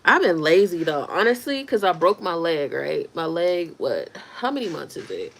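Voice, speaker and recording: A woman's voice: fairly low pitch, very animated, normal speed, vocal fry, fairly bright tone. A woman in her thirties chatting casually on a livestream, reacting to viewer comments as she talks. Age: 30s. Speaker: livestream host.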